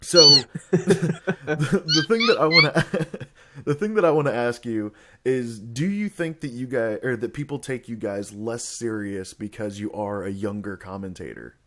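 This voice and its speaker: A man's voice: medium pitch, unhurried, pushed and bright, a little hiss or hum. A young man hosting a casual podcast chat. He rambles, often restarts his sentences and laughs between thoughts. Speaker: podcast host.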